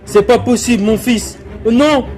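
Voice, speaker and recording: A man playing an anxious, imperious rich lord and father, quick to anger, in a dramatic animated religious story. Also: very high-pitched, faint music behind. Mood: furious, anguished. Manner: commanding, dramatic, accusing. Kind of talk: French-language animated religious story dub.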